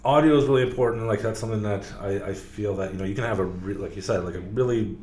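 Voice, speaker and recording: A man's voice: lowish voice, fast, a little mellow. A man speaking as a podcast guest, talking casually with lots of fillers and restarts. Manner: rambling, many fillers and restarts. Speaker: podcast guest, car sales professional. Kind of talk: casual interview talk. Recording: echoey room, faint background noise.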